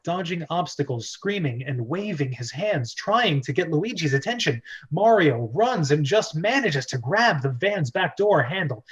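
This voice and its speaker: Man, higher voice, script narrator, casual amateur table read, chatty asides, dull lo-fi sound. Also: breathy edge. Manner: often laughs and breaks off into asides. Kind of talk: casual amateur screenplay table read, reading stage directions.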